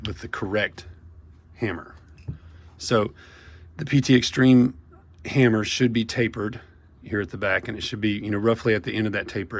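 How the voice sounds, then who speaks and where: Hoarse man with a cold, lowish gravelly voice, casual halting repair tutorial. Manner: casual, halting explanation with many fillers and restarts. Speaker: paintball marker technician.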